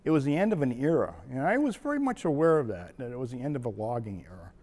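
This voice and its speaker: A man's voice: mid-range voice, very animated, fast, darkish tone. Older man spinning a rambling story. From Maine, USA. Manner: rambling, chuckling storyteller with frequent restarts. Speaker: retired wildlife biologist. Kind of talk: reminiscing personal story.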